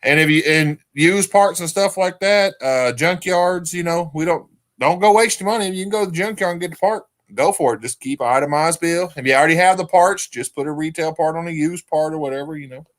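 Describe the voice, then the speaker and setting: A man's voice: on the high side, brisk, hardly any pauses. A man in his thirties from Arkansas with a country Southern accent, hosting a casual live stream chat in a folksy, teasing way. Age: 30s. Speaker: livestream host.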